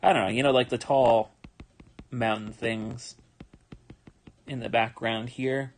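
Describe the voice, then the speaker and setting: Young male artist streaming his drawing, tired, easygoing, mid-pitched, full of ums and restarts. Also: slow pace. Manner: relaxed, rambling, with many fillers and restarts. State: tired, yawning. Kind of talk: casual livestream commentary while drawing.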